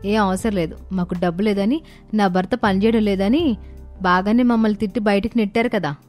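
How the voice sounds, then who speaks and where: Woman voicing indignant, emphatic young aunt for animated moral tale, medium female pitch, faint music. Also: brisk, natural voice. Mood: stern, angry. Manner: stern, emphatic, scolding. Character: proud, indignant young aunt, quick to soften.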